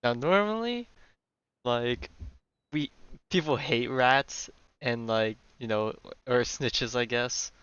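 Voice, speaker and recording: A man giving casual live reaction commentary on a game, talking in a halting, filler-heavy way. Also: medium pitch, fairly bright tone, clear, resonant tone, no echo, highs cut off. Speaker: streamer.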